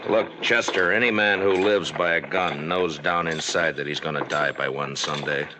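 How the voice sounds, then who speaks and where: An actor playing a calm, tough middle-aged American marshal in an old-time radio western. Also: male voice, a bass voice, normal speed. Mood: firm, reassuring. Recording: noisy old radio recording.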